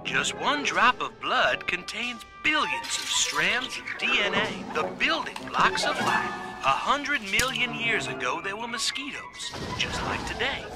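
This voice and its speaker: A man voicing a chirpy animated mascot who narrates an educational film in a folksy, twangy voice. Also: high-pitched, unhurried, hardly any pauses. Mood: cheerful, explanatory. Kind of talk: scripted educational cartoon narration. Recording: music loud behind the voice.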